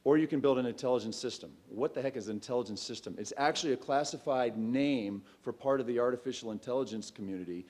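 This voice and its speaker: A man in his thirties pitches his company from a stage in a large, darkened auditorium. He keeps it conversational, with plenty of ums and restarts. He speaks into a stand mic at a medium, ordinary pitch. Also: fast talker, few pauses, a little mellow. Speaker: startup CEO pitching. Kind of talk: conference pitch talk.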